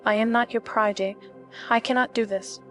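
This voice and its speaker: A woman acts out a shaken young gallery test subject in a narrated drama. Her voice sits fairly high and sounds wary and emotional. Faint music runs underneath. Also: steady tone, a little fast, a bit bright, natural voice. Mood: distraught, defiant. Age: young adult. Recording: music behind the voice.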